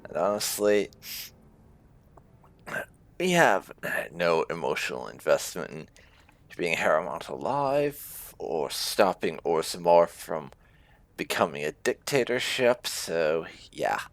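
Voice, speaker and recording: Slow, creaky-voiced man with swinging pitch. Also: big pitch swings. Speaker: gaming channel host. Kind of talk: casual roleplay let's play commentary.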